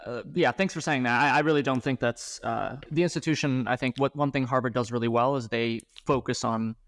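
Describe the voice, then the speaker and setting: A man in his thirties, a startup founder, talking about his work in a casual podcast interview, halting, with frequent restarts and fillers. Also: mid-range voice, fairly even intonation, a bit bright, no room sound, clean studio sound. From grew up abroad, moved to the US at eleven.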